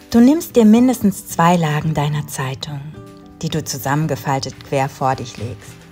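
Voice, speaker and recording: A woman in her thirties calmly narrating a home cleaning routine in a voice-over, giving step-by-step tips straight to the viewer. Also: deep voice. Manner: calm, instructive, talks directly to the viewer. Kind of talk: calm scripted voice-over narration.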